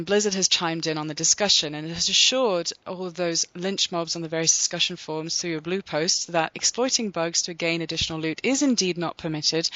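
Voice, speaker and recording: Podcast host recapping with measured delivery. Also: mid-range voice, bright, forceful tone, dry recording, lo-fi sound. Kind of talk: scripted recap then casual introductions.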